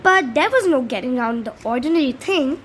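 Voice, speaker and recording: A woman reading a children's storybook aloud as a channel host, with a halting delivery that stumbles over words now and then. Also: high-pitched, expressive, a bit bright, a little hiss or hum.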